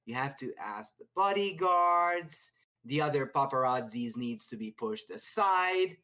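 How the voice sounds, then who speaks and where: A man's voice: fairly high pitch, lively intonation, measured pace, sharp tone. A man explaining chess with playful analogies in an emphatic, conversational way. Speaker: chess commentator.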